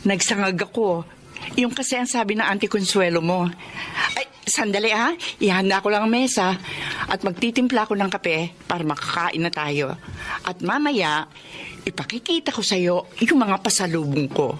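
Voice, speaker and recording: A woman's voice: medium pitch, lively intonation, moderate pace, fairly bright tone, slight background noise, natural voice. An actress playing an eager, affectionate mother home from working abroad, earnest and longing for her daughter, in a radio drama.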